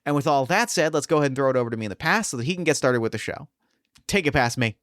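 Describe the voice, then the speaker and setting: An enthusiastic man hosting a casual tabletop podcast, talking fast and loose with plenty of fillers. His voice is smooth and clear. The recording is dry and close. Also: mid-range voice, expressive, fairly bright tone, no room sound. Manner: enthusiastic, chatty, many fillers. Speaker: podcast host and game master.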